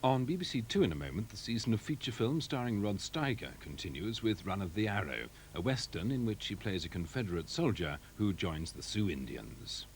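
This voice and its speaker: Male continuity announcer, deep and formal, lively intonation, scripted broadcast links. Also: brisk, hardly any pauses, a little hiss or hum. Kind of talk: scripted weather and programme announcements.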